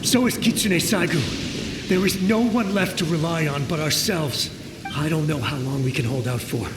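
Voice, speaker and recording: The ghost of a young soldier, voiced by a man, high-pitched and desperate. He pleads urgently and haltingly in anime game style, his voice echoing through storm noise. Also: close to an everyday voice. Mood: despairing. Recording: echoing, noisy, with rain and thunder behind.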